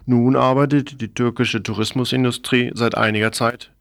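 A man's voice: medium pitch, steady tone, normal speed, vocal fry, fairly bright tone, slight background noise. A man reading a scripted radio news report in a formal, factual manner.

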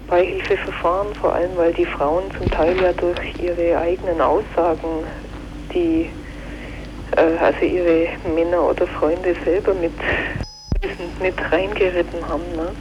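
A woman lawyer giving a casual spoken explanation in an interview, halting and colloquial. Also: on the low side, level tone. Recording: noisy, dull telephone line.

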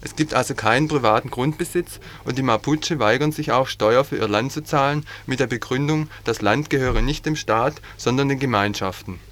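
A man reading a news item aloud in an earnest, steady way on a 1980s community radio broadcast. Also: medium pitch, normal speed, hardly any pauses, slight background noise. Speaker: news reader. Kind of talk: read news bulletin.

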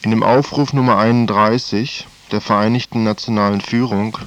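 Male news presenter, low voice, measured reading, dull lo-fi broadcast sound. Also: fairly even intonation, a bit bright, a little hiss or hum. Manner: measured reading with occasional fillers and self-corrections. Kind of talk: news commentary.

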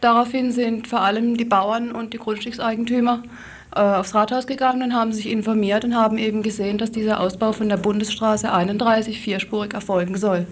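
A woman's voice: on the high side, flat delivery, fairly quick. A woman giving unscripted explanatory answers as an activist interview guest, hesitating as she searches for words.

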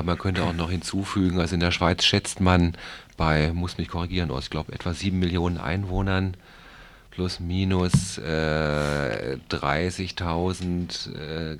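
A man speaking as an expert in a discussion, explaining things in a halting, deliberate way and qualifying his points. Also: deep voice, steady tone. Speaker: drug treatment programme clinician.

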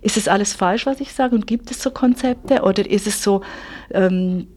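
A woman's voice: medium pitch, normal speed, darkish tone. A woman interviewing in a casual political discussion, informal and rambling as she circles toward her questions. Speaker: radio magazine interviewer.

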